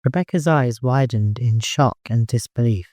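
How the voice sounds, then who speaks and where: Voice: mid-range voice, normal speed, darkish tone, no echo, studio quality. An audiobook narrator reading scripted dramatic fiction. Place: close, dry recording space.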